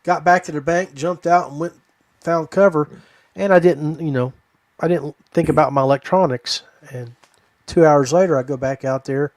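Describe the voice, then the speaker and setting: A man hosting a podcast in a casual, folksy, halting way. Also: a little high, no room sound. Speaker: podcast host.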